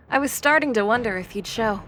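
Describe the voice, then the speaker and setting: A woman's voice: a little high, expressive, normal speed, fairly bright tone, a little hiss or hum. A woman playing a cocky, teasing young goddess in an audio drama with a laid-back manner. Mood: smug, teasing.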